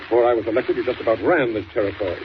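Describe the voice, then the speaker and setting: Stubborn male governor male actor, 1940s radio acting, quick and decisive, slightly hissy vintage sound. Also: mid-range voice, lo-fi sound, natural voice. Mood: brisk, explaining. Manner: blunt, decisive, authoritative. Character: brisk, stubborn, plain-spoken male state governor.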